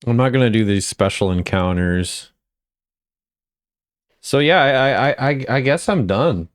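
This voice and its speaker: A man's voice: medium pitch, lively intonation, a little slow, no echo, studio quality. A man giving casual chatty commentary as a video creator, often repeating phrases for emphasis. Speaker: video creator ranking game voice lines.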